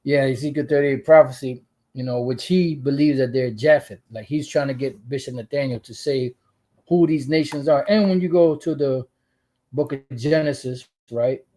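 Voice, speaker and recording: A man with a soft, dark-toned voice talks through scripture passages as a channel host. He rambles, circling back with asides and restarting his sentences as he reads out verses. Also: medium pitch, normal speed. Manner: rambling, full of "you know" asides and restarts. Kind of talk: casual religious commentary reading scripture.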